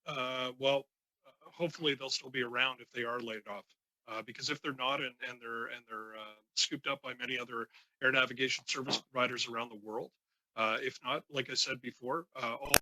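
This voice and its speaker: A man giving formal testimony as a union leader, answering haltingly with many false starts. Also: medium pitch, sharp tone, dry recording, rough recording. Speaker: union president, committee witness.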